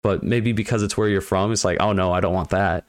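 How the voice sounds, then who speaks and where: Lowish-voiced upbeat man hosting. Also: steady tone, a little fast, no echo, studio quality. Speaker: podcast host. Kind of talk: casual interview chat.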